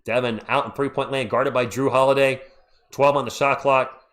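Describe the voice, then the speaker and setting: A man's voice: mid-range voice, normal speed. A man hosting a live stream, calling a basketball game play-by-play as an open fan of one team and thanking viewers in the chat. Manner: excitable and partisan, reads viewer comments. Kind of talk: fan play-by-play commentary with chat shout-outs.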